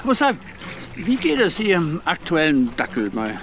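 An actor playing an aging Northern Irish ex-soldier, wry, nostalgic and evasive, in a German radio crime drama. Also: male voice, on the high side, huge pitch swings, normal speed, a bit bright, slight background noise, exaggerated voice. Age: older.

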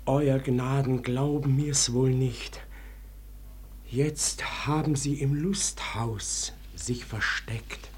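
Slow, frightened manservant, noisy recording. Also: male voice, mid-range voice, steady tone, darkish tone. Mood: anxious, deferential. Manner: hesitant, awed, anxious, sometimes sinking toward a whisper. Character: frightened, deferential manservant with a dread of uncanny intruders. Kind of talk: classic German radio verse drama.

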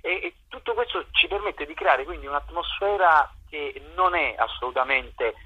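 A man's voice: a little high, normal speed, husky, narrow, tinny audio. A man giving a radio interview as a theatre director, talking expansively and searching for words in long winding sentences. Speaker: guest, theatre author, director and actor. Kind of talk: radio interview, spoken off the cuff.

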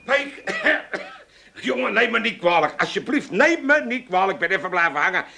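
An actor playing a talkative, boastful older shopkeeper with an Amsterdam accent in a radio play, rambling on in chattering sales patter. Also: male voice, sits high, sing-song, moderate pace, vocal fry, sharp tone, a little hiss or hum, full character voice. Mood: rambling, apologetic. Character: talkative, boastful old male shopkeeper, a bit tipsy.

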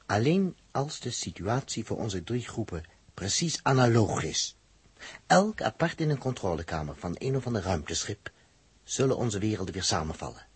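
A man acting an earnest, solemn space crewman who reasons deliberately, in a 1960s radio drama. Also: fairly low pitch, sing-song, slightly rough, no room sound, full character voice. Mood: grave, urgent. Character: earnest, reasoning male space crewman explaining grave odds. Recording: noisy 1960s radio recording.

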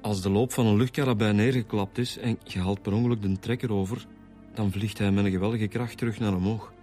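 An actor playing a conscientious, troubled Flemish homicide detective in a radio drama, speaking earnestly. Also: male voice, on the low side, fairly even intonation, fairly quick, warm tone, close to an everyday voice. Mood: measured, explaining. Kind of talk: naturalistic radio drama acting. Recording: music behind the voice.

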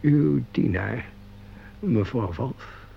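An actor playing a sly, fawning, crooked bookkeeper in a radio play, with formal, unctuous, theatrical delivery. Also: male voice, medium pitch, expressive, drawn-out words, vocal fry, husky, exaggerated voice. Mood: slyly persuasive. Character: sly, fawning, embezzling bookkeeper, secret lover. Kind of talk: Dutch radio play acting. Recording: dull, noisy radio play recording with hum.

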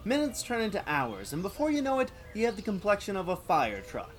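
A man's voice: high voice, wildly swooping pitch, fairly quick. A man playing a grumbling, sarcastic sidekick in a comedy skit, with a dry, complaining delivery. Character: grumbling, sunburned, sarcastic male sidekick avoiding sun. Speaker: comic sidekick.

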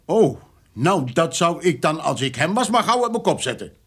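A man's voice: on the high side, big pitch swings, moderate pace, a bit bright, slightly rough, full character voice. An actor playing a stern, self-righteous middle-aged father, curt and authoritarian in a radio drama.

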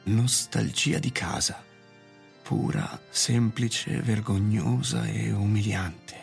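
An actor playing an anxious, homebound doctor in a radio drama, with a hesitant, stammering delivery. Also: male voice, fairly low pitch, slow, vocal fry, exaggerated voice. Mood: anxious, shaken. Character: middle-aged doctor, anxious, homebound gentleman of future. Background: music.

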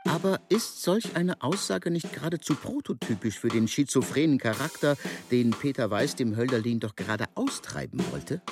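A man's voice: mid-range voice, lively intonation, normal speed, creaky, hardly any pauses. An actor playing an earnest, learned man in a scripted radio debate, speaking in an emphatic professorial way with music underneath. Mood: questioning. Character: earnest, learned male literary debater quoting poets and doubting. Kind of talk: scripted intellectual discussion in radio drama.

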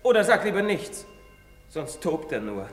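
An actor playing a pious, fatherly village priest who admonishes gently in a preacherly way. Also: a little high, very animated, a little slow, husky, soft background music, exaggerated voice. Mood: admonishing. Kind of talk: stage play recording. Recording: long hall reverb.

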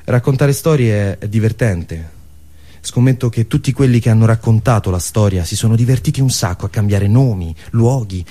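A man delivering a theatrical storytelling monologue, posing rhetorical questions and using deliberate dramatic pauses. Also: mid-range voice, warm tone, breathy edge. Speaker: monologue performer.

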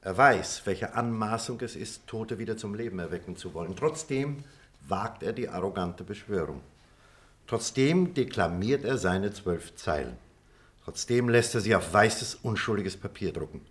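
A low, deep male voice played by an actor of a middle-aged man looking back on his life. He speaks like a radio-play storyteller, deliberate and expressive, with a reflective temper. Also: close to an everyday voice. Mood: wry, solemn. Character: reflective middle-aged former pupil telling a childhood memory.

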